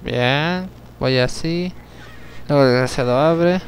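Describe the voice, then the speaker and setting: A young man giving chatty gameplay commentary, talking to himself, swearing at the game and breaking into sudden yells. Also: a little high, takes it slowly, slight background noise. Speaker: let's play gamer.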